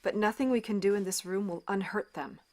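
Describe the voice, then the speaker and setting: An actress playing a gentle, probing children's doctor in an audio drama, soft-spoken, hesitant and full of questions. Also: female voice, medium pitch, brisk, lightly acted. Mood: careful, probing. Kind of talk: naturalistic audio drama acting.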